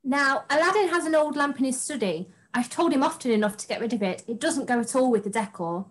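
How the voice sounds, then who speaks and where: A young woman playing a haughty, naive pantomime princess in an expressive, slightly stagey way. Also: sits high, quick pace, close to an everyday voice. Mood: haughty, puzzled. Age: young adult. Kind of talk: script read-through on video call. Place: room at home, video call.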